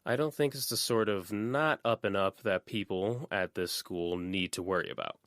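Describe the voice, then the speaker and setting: A man's voice: on the low side, no room sound, studio quality. Man reading as a guarded, composed teenage girl. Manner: quiet, measured, evasive.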